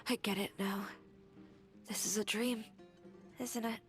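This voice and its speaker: A woman plays a young woman in a video game. Her voice sits at an average pitch and is soft-spoken and earnest. Light music plays under her lines. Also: fairly even intonation, a little slow, natural voice. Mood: wistful, insistent. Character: earnest young woman clinging to a comforting dream. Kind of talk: video game character acting.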